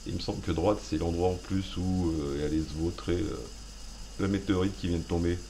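A man's voice: deep voice, a little fast, gravelly, dark tone, a little hiss or hum. A man hosting a gaming video, rambling through self-mocking, playful commentary as he plays. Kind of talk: joking let's play commentary.

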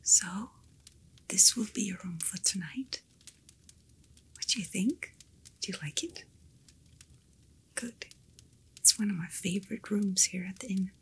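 A woman voicing a kind, attentive fantasy innkeeper in an ASMR roleplay, speaking in a soft, soothing whisper. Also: mid-range voice, measured pace, long pauses, a little hiss or hum, close to an everyday voice. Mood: gentle, soothing.

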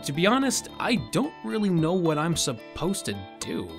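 A man's voice: on the high side, sing-song, normal speed, music in the background, voice pushed up. A man voicing a sardonic, easily flustered young man with a casual manner in a story reading. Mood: casual, defensive. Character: sardonic, flustered young human man, newly made Death.

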